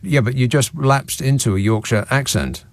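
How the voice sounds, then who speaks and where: A man with a southern English accent hosting a radio phone-in, bantering in a deadpan, mock-indignant way. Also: mid-range voice, normal speed.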